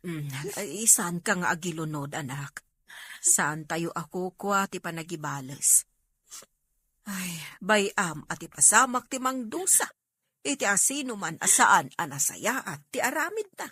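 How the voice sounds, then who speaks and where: A gentle, anxious Ilocano mother played by a woman in a melodrama. She is plaintive, with a raspy, bright voice heard close and dry. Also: fairly low pitch, sing-song, measured pace, dry recording, heavily acted. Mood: gently pleading. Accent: Filipino, Ilocano.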